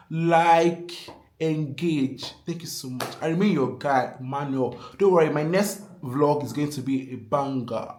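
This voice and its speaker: A man's voice: on the high side, darkish tone, a little hiss or hum. A man with a Nigerian accent giving casual advice in a vlog, emphatic and earnest, repeating his key points and pleading with viewers. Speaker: vlogger. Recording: echoey room.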